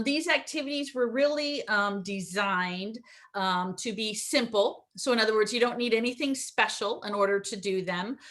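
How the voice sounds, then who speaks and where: Middle-aged woman running a zoom teacher training, kinda high voice, fast and upbeat, lots of ums. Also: fairly bright tone. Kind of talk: online teacher training presentation. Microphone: video call.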